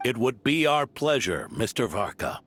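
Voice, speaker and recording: A formal, courteous leader of an allied order in a video game,. His pitch moves around freely, and he speaks with careful politeness. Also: big pitch swings, moderate pace, a bit bright, a little hiss or hum. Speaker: allied commander.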